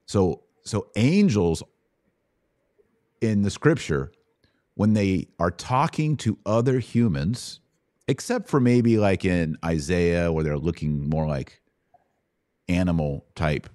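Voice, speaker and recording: A man's voice: low-pitched, expressive, slow, long pauses, mellow, dry recording, clean studio sound. A man hosting an informal Bible question-and-answer podcast, explaining things in colloquial language with emphatic repetition. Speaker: podcast host.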